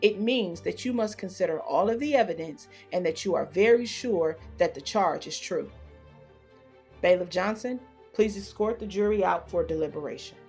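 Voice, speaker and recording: A woman voicing a stern judge in an audio drama, speaking in a measured, formal way. Faint music plays behind her. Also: lowish voice, darkish tone, lightly acted. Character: stern female judge presiding over court.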